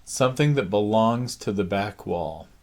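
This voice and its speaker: A man teaching a drawing lesson, thinking aloud slowly with many pauses, his voice raspy. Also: on the low side, animated, darkish tone. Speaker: art teacher. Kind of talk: drawing lesson, talking while sketching. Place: art studio.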